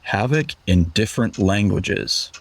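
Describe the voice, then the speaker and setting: Horror audio drama: skeptical young man, low creaky mutter, very slow and dry. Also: lively intonation. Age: young adult. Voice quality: gravelly. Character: weary, sardonic young man muttering at his screen. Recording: faint music behind.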